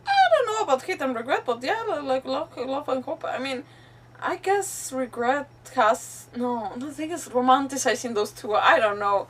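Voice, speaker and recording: A woman live streamer giving casual, animated commentary on a game, thinking aloud in a giggly, chatty way. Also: sits high, normal speed. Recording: slightly distant mic, faint game music. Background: faint game music.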